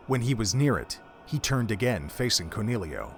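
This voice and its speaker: A man giving scripted story narration for an audio drama in a measured, even way. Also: fairly low pitch.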